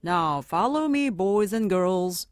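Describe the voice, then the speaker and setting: A woman's voice: mid-range voice, expressive, takes it slowly, no room sound, clean studio sound. A woman voicing a stern, busy male schoolteacher in a storybook, firm and instructive as if addressing a class. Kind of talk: storybook character voice.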